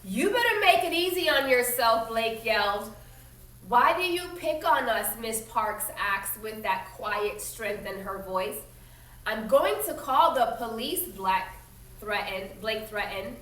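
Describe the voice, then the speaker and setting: A woman teacher reading a story aloud expressively to young children, pausing to explain it in simple, instructive asides. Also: fairly high pitch, lively intonation, a bit bright. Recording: roomy echo, distant mic, faint noise.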